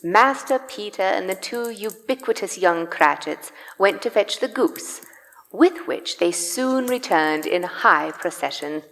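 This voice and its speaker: A woman's voice: medium pitch, animated, unhurried, fairly bright tone. A woman narrating a Victorian tale as the scripted storyteller of a live radio play. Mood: descriptive. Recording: live in a reverberant church hall.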